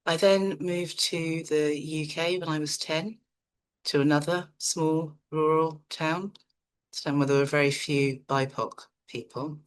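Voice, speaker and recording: Calm, measured woman presenter from Nigeria. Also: low-pitched, clean tone. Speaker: psychotherapist and workshop presenter. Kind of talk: online seminar presentation.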